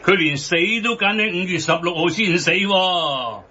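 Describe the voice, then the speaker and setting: A high-pitched, forceful, scolding fat man. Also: moderate pace, slightly rough, full character voice. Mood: emphatic, lecturing. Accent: Hong Kong Cantonese. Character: blunt, combative fat man who lectures and scolds. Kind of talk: satirical radio drama acting. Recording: dull, band-limited broadcast audio.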